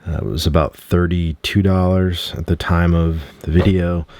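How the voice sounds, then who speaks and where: A man giving a casual product review at home, loose and relaxed, often clearing his throat and using fillers. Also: deep voice, moderate pace, dry recording.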